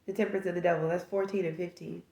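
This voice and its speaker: A woman's voice: lowish voice, level tone, very fast, gravelly, warm tone, roomy sound. A woman with a stuffed-up nose giving a casual tarot reading, musing aloud and rambling through possibilities. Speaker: tarot reader.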